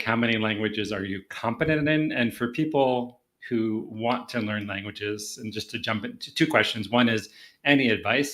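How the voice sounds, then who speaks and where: A man's voice: mid-range voice, fairly bright tone. A man hosting a podcast, asking long, rambling questions in a casual way. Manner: rambling, loosely phrased questions. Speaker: podcast host.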